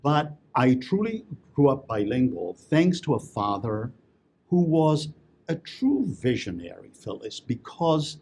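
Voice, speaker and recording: A man's voice: medium pitch, breathy edge. A middle-aged man from Italy who grew up speaking Italian and English, telling stories as an interview guest with many fillers and laughs. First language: bilingual Italian and English. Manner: expressive storyteller, lively, with frequent fillers and laughs. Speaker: interview guest, memoir writing teacher. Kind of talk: conversational interview storytelling. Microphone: local TV interview mic.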